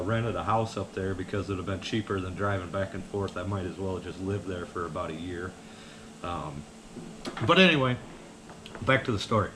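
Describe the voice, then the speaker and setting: A man's voice: low voice, background noise. A man tells casual, meandering personal stories in a folksy way. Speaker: vlogger telling personal stories. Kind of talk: casual rambling storytelling.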